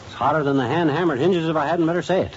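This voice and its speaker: An actor playing a boastful husband who spins tall tales in a 1950s radio comedy. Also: male voice, on the high side, very fast, close to an everyday voice. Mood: bluster. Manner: blustery, tall-tale boasting. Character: boastful blustering husband, tall-tale teller. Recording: dull old radio transcription with hiss.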